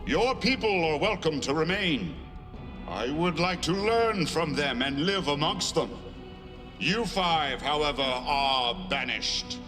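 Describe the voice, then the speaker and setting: Slow, reverberant otherworldly world spirit. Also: male voice, fairly high pitch, lively intonation. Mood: solemn, commanding. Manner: slow, solemn, deliberate. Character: calm, otherworldly being embodying a whole world. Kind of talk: scripted video game cutscene acting. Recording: long reverb, music behind.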